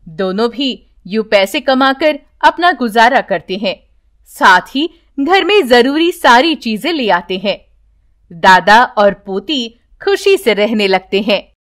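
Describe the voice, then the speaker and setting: A woman's voice: on the high side, a bit bright. A woman narrating a scripted bedtime story for children as a storyteller.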